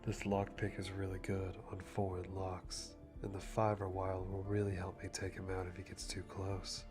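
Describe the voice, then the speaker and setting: A man playing a calm, methodical hitman, musing to himself softly and deliberately with dry amusement in an ASMR roleplay. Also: sits low, natural voice. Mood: calm, calculating. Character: calm, methodical male hitman planning a kill. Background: music.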